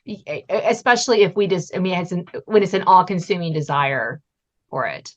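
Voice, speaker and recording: A woman's voice: fairly low pitch, fairly quick. A woman hosting a podcast, speaking in a conversational interview style. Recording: muffled, band-limited audio.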